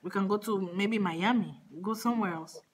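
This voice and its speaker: A woman prank-calling a relative, talking in a calm, matter-of-fact way with hesitant restarts. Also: medium pitch, moderate pace, a loud crowd. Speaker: prank caller. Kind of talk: casual phone chat.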